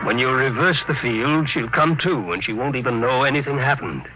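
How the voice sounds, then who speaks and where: A man's voice: mid-range voice, moderate pace, over music, close to an everyday voice. A man acting a blunt, businesslike doctor in an old radio drama, emphatic and matter-of-fact but wary. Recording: faintly noisy old radio broadcast.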